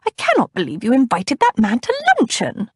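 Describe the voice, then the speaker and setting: A woman reading an audiobook, voicing a fussy, fretting older woman chaperone in a high, fluttery, huffing voice. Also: huge pitch swings, fairly quick, slightly rough, no room sound, studio quality, full character voice. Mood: fretful. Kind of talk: audiobook character voice.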